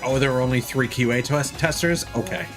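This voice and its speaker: A man's voice: mid-range voice, brisk. A man streaming a game, reading its text aloud and adding informal, wry commentary. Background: game music.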